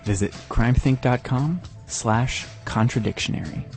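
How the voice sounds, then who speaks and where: A man's voice: mid-range voice, moderate pace, loud music behind the voice. A man reading scripted narration as a podcast host.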